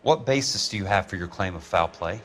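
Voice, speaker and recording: An actor playing a tough, skeptical American insurance investigator in a noir video game, brusque, dry and sardonic. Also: male voice, low-pitched, expressive, a little fast, a bit bright, faint music behind. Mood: matter-of-fact. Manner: blunt, dry, probing.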